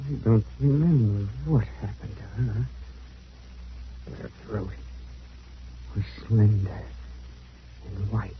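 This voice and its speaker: An actor playing an eerie, lonely young man with a halting, fixated manner in an old radio horror play. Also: on the low side. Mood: hushed, menacing. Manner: slow, halting, brooding speech. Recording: dull muffled old radio recording, hissy.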